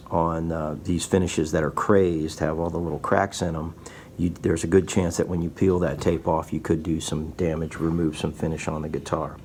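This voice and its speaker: A man's voice: low voice, gravelly, dark tone. A man in his fifties giving a casual, folksy workshop tutorial, patiently explaining each step as a guitar repair technician. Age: 50s. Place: small indoor guitar workshop. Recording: faint background room noise.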